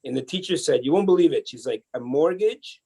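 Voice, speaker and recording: Gravelly salvadoran-born guy on a podcast, high energy, chatty, lots of restarts. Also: expressive, fairly quick, warm tone. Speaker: podcast guest. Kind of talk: casual interview storytelling.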